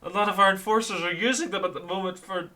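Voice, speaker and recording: A man's voice: high-pitched, steady tone, quick pace, bright, forceful tone. A man voicing a harried male bureaucrat, rambling and tangled in rules and forms, over an online voice chat mic. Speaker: player.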